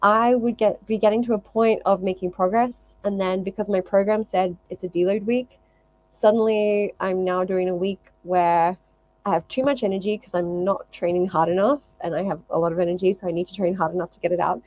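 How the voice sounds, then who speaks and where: A woman's voice: medium pitch, fairly even intonation, brisk, a little mellow, lo-fi sound. A woman talking casually to viewers as a fitness vlogger, explaining things emphatically and often repeating phrases in lists.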